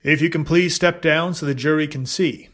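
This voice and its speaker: A man's voice: on the high side, normal speed, a bit bright. A man playing a probing, formal trial lawyer in an 1893 courtroom reenactment. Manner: formal, questioning.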